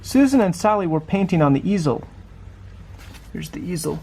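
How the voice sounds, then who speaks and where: A man's voice: high voice, animated, moderate pace, creaky, a little mellow, husky. A man reading a children's picture book aloud, adding small conversational asides as he goes. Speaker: storybook reader.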